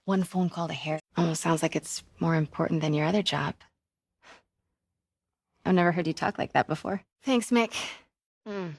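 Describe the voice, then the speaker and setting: An actress playing a determined, caring young newspaper reporter with an American accent, in naturalistic, conversational video game dialogue with wry asides. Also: female voice, fairly low pitch, normal speed, long pauses, a little mellow, no echo, studio quality. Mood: wry, inquisitive.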